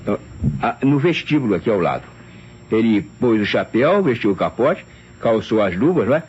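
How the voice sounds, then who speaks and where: A man's voice: lowish voice, fairly quick, breathy edge, heavily acted. An actor playing a flustered, deferential private secretary, hesitant and stammering as he testifies in an old radio mystery. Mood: flustered recounting. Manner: hesitant, stammering, tag questions, animated storytelling. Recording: dull, noisy old radio drama recording.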